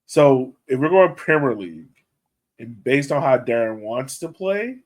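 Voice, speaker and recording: A man's voice: mid-range voice, sing-song, unhurried. A man co-hosting a soccer podcast in casual chat, laid-back and thinking aloud, often trailing off. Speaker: podcast co-host.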